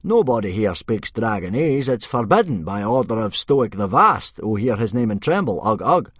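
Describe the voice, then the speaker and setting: A man voicing a pompous Viking warrior in a storybook, loudly trying to sound noble and dignified. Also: on the low side, big pitch swings, normal speed, breathy edge, no room sound, clean studio sound, voice pushed up, heavily acted. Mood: pompous, scornful. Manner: loud, would-be noble and dignified.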